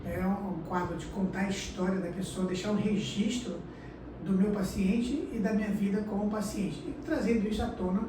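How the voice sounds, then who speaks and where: A woman's voice: mid-range voice, recorded from a distance. A woman hosting an interview, affirming her guest often. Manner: affirming, many "sim, sim" backchannels. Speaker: interviewer, host. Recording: echoey room, recorded from afar, noisy.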